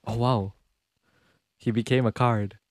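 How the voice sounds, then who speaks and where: A man's voice: medium pitch, fairly even intonation, measured pace, dry recording, clean studio sound. A man giving casual, slangy live reactions as a game streamer, often in short exclamations. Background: game music.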